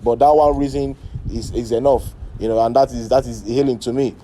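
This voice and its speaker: A man with a Nigerian accent giving a thoughtful interview answer, pausing often with fillers. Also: mid-range voice, steady tone, dark tone, slight background noise. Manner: thoughtful, hesitant with many fillers. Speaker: artist contributor.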